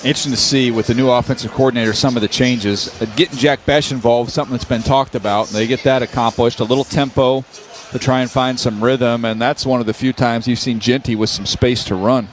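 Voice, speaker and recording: A man's voice: medium pitch, steady tone, fairly quick, slight background noise. A man giving conversational color commentary on a live football broadcast. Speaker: color analyst. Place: open stadium broadcast booth. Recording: loud stadium ambience behind.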